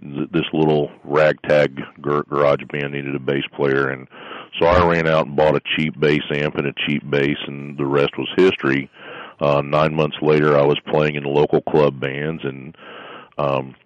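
A middle-aged man from South Florida with a very deep bass voice, telling stories in a casual interview with lots of fillers and restarts. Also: level tone, moderate pace, dry recording, highs cut off. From South Florida, USA. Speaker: guest, strength coach and musician.

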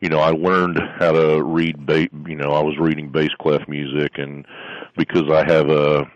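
Very deep-voiced middle-aged man. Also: level tone, normal speed, dry recording, lo-fi sound. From South Florida, USA. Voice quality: very deep bass voice. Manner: rambling, lots of fillers and restarts. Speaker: guest, strength coach and musician. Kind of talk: casual interview storytelling.

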